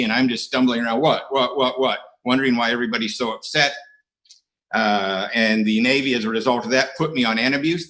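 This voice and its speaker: A man's voice: medium pitch, fairly bright tone, low-quality recording. A middle-aged American man sharing his recovery story at an AA meeting, with dry, self-mocking humor and frequent hesitations. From United States. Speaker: AA speaker. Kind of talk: recovery share talk. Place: online conference.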